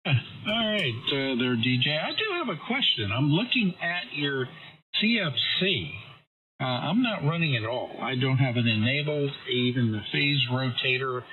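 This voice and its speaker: A man's voice: mid-range voice, big pitch swings, normal speed. A man chatting casually as an amateur radio operator, questioning and thinking aloud, over a radio transmission. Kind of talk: casual ham radio chat. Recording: noisy, thin phone-like radio transmission.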